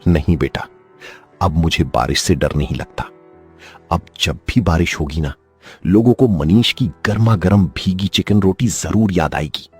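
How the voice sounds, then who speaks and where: A man's voice: low-pitched, unhurried, slightly rough, soft background music, heavily acted. A man voicing a hardworking poor food vendor who speaks earnestly to his family. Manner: earnest, heartfelt.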